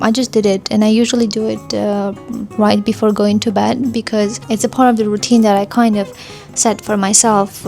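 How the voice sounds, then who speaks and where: A woman's voice: mid-range voice, a little mellow. A woman whose first language is Armenian, giving a casual, thoughtful vlog review in English with frequent fillers and restarts. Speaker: vlogger. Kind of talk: casual talking-to-camera review.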